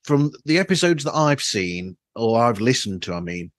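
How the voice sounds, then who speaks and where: A man's voice: mid-range voice, sing-song, normal speed, dry recording. A man hosting a casual interview, asking rambling, roundabout questions through a flawed microphone. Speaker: podcast host, interviewer. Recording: flawed microphone sound, dry.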